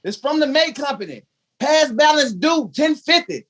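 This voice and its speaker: A man's voice: extremely high pitch, animated, moderate pace, noisy background. A man playing a loyal but cheeky household valet in 1950s radio comedy style, with dry, sassy punchlines. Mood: wry.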